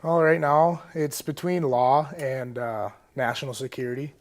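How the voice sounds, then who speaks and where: Young american dude, college athlete, mid voice, chill short answers in an interview. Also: male voice, medium pitch, fairly even intonation, measured pace. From Moorhead, Minnesota, United States. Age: young adult. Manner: laid-back, short clipped answers. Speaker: college football player, interview guest.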